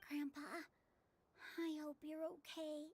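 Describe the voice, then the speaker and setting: A woman voicing an earnest young desert girl in a game, slow-spoken and childlike. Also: high-pitched, little pitch movement. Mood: worried. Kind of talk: game voice acting. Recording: game audio with loud music behind.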